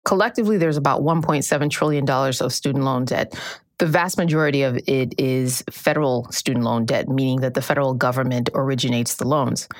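A woman reporter with a low voice explains her findings in a clear, measured way, the sound dry and close. Her delivery is steady and informative. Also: no echo. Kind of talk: explaining in a news podcast interview.

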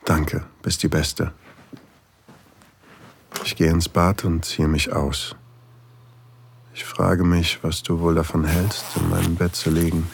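An actor playing an older single father, tender and longing, in an intimate, softly confiding audio drama narration with a husky, gravelly voice. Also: deep voice, flat delivery, takes it slowly, long pauses, slight background noise, exaggerated voice. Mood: soft, affectionate.

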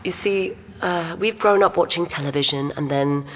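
An actress playing a knowledgeable, obliging museum archivist who patiently explains art as a guide in an audio drama. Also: female voice, on the low side, normal speed, a little hiss or hum, close to an everyday voice. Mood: explaining. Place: inside a museum. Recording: reverberant museum hall.

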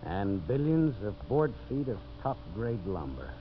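An actor playing a brash, greedy American lumber boss, pushy and wheedling, in 1950s radio drama style. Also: male voice, fairly low pitch, big pitch swings, measured pace. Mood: pushy, impatient. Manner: pushy, wheedling, blunt salesman's talk. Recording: muffled, noisy 1950s radio recording.

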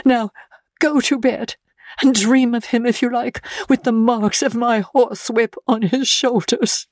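A woman's voice: fairly high pitch, fairly even intonation, a little slow, no room sound, voice pushed up, close to an everyday voice. A woman voicing a jealous aristocratic husband, cold and vindictive, in a dramatic reading of a classic novel. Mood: threatening.

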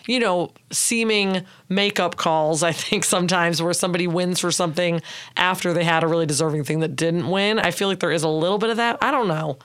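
A woman with a raspy voice, chatting casually and conversationally as co-host of a podcast. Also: fairly low pitch, a little fast, a bit bright.

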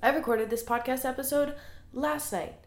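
A woman's voice: high voice, steady tone, moderate pace. A young woman with a cold and a congested voice, hosting her podcast in a chatty, earnest way. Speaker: podcast host. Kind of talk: casual solo podcast monologue. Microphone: small handheld recorder. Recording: roomy echo.

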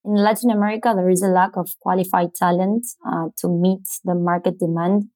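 Argentine woman, even low-pitched voice, halting delivery. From Buenos Aires, Argentina. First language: Spanish. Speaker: interview guest, startup co-founder. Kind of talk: explanatory interview answers.

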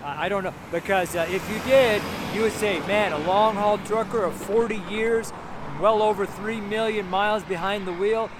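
A man's voice: sits high, moderate pace, hardly any pauses, background noise. A seventy-year-old American man giving a casual, rambling street sermon, chuckling to himself now and then. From United States. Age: 70s. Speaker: street preacher. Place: outdoors by a road with passing cars.

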